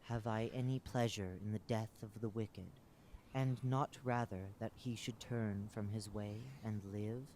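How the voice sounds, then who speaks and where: A man's voice: lowish voice, steady tone, unhurried, a little mellow, a little hiss or hum, close to an everyday voice. A man voicing a solemn, unseen divine master who pronounces judgment in grave scriptural words. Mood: stern judgment.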